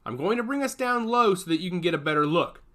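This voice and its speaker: A man voicing a businesslike transport pilot who speaks in crisp calls. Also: sits high, expressive, fast, pushed and bright, pitched above the performer's own voice. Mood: brisk.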